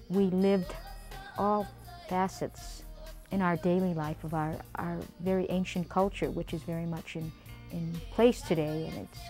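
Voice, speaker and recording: Woman from American Samoa, low voice, measured and unhurried, interview recollection with faint music under it. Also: a little mellow. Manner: measured, unhurried, pausing with fillers. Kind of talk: reminiscing interview.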